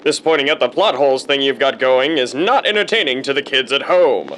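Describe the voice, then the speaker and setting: A man's voice: mid-range voice, a little fast, fairly bright tone, a little hiss or hum, close to an everyday voice. An actor playing a self-pitying male narrator of a kids' show, prissy and indignant. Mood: polite.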